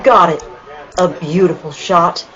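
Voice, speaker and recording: A woman playing a bright, eager young sweetheart in an old-time style radio play. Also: on the low side, measured pace, lo-fi sound, faint music behind. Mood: enthusiastic.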